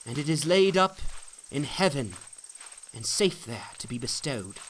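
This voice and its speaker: A man's voice: medium pitch, sing-song, unhurried, a bit bright, slight background noise, low-quality recording. A man voicing an earnest, fervent pilgrim who exhorts and pleads in solemn archaic speech, in a dramatic storybook reading. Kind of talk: dramatic reading of an old allegory.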